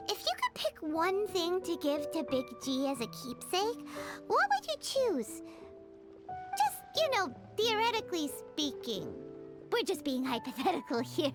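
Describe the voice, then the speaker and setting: A tiny, cheeky game fairy with an extremely high, squeaky child's voice. The pitch swoops around in chatty, exclamatory bursts, over faint background music. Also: female voice, full character voice. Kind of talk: video game voice acting.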